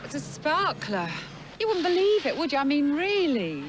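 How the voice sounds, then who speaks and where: A woman's voice: high-pitched, very animated, moderate pace. An actress playing a chatty, self-justifying mother who talks at a neighbour, on a hissy old film soundtrack. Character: chatty, fussing English mother. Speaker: mother in a public information film.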